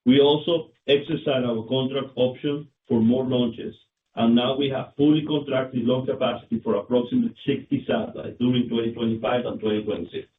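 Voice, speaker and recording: A male company executive reading scripted financial results on an earnings call in a formal, somewhat halting manner. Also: medium pitch, fairly even intonation, far from the mic. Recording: muffled phone line, roomy, clicks, noise.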